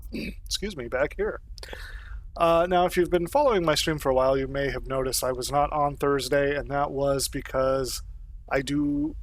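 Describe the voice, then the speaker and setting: A man getting over a cold gives relaxed, rambling commentary while playing a space game, with frequent ums and short laughs. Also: mid-range voice, normal speed. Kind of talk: casual live-stream commentary.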